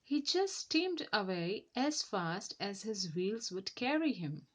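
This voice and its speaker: A woman reading a children's story aloud as an expressive narrator. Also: mid-range voice, slow.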